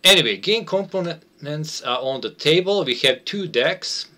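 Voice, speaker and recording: A man's voice: a little high, expressive, measured pace, sharp tone. A man, an English speaker, giving a casual, unscripted game walkthrough and hesitantly searching for words. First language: not English. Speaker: board game designer playtesting a game. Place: small room at home, indoors.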